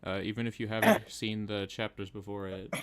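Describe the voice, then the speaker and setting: A man's voice: on the low side, flat delivery, a little fast, crowd noise. A man chatting casually as a host in a group discussion.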